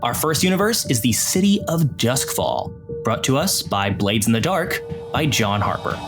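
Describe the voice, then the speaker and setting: Male podcast narrator over loud music. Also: medium pitch, sing-song, normal speed. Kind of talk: scripted dramatic recap narration.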